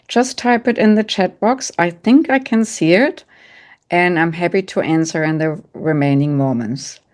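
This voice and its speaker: A middle-aged woman speaks with a strong German accent in a somewhat low voice. Her delivery is calm and gently instructive, built from short, repeated phrases as she leads an online workshop. She pauses now and then to take audience questions. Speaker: psychic medium and coach, guest speaker.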